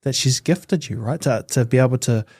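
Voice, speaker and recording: Calm man asking measured questions. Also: medium pitch, slightly rough, no echo, clean studio sound. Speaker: podcast host. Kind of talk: interviewer, brief prompts and backchannels.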